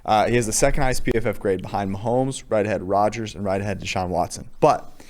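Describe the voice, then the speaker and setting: A man's voice: lowish voice, fast talker, darkish tone. A man co-hosting a casual football podcast. He moves the talk along with dry, teasing asides and direct questions to his partner. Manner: wry, teasing, steers the talk. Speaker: co-host. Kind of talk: casual sports chat.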